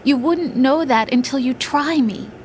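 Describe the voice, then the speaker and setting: A woman's voice: high voice, unhurried, a little mellow, slight background noise. A woman voicing a caring young woman, the soldier's partner, in a video game cutscene, speaking in a worried, pleading way. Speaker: game character. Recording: game audio with faint music.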